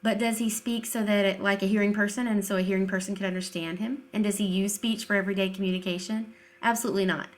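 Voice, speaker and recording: A woman's voice: mid-range voice, steady tone, quick pace, few pauses. Female commentator, mid-range voice. Emphatic and informal, with pointed sarcasm and rhetorical questions. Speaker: video commentator, deaf-education advocate.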